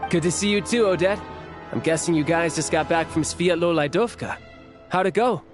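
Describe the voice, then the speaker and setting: A man's voice: fairly high pitch, normal speed, over music, close to an everyday voice. An actor playing a confident young military officer in a video game, easygoing and candid, earnest about his loyalties. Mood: friendly, casual.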